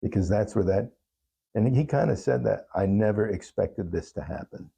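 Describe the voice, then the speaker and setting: A man speaking as an interview guest, telling personal stories in a reflective, halting and earnest way. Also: fairly low pitch, animated, creaky, warm tone, breathy edge. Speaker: talk show guest, songwriter.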